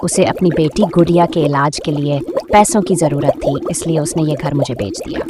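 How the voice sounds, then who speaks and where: A woman's voice: low voice, normal speed, close to an everyday voice. A woman playing a matter-of-fact mermaid homeowner in a cartoon story. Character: matter-of-fact adult mermaid, new owner of a house. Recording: watery background noise.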